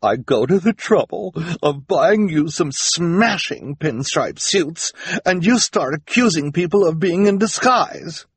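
Man as snobbish wealthy woman, raised pitch, wildly animated, bossy, emphatic, audiobook character. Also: a little slow, slightly rough, full character voice. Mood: angry, boastful. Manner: haughty, impatient, emphatic. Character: imperious, vain, wealthy woman, snappish guardian.

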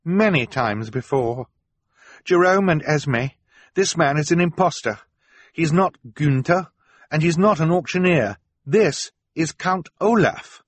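A man's voice: medium pitch, big pitch swings, moderate pace, husky, dry recording, voice pushed up. A man voicing a brave, earnest young girl who speaks insistently. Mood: accusing. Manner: insistent, emphatic.

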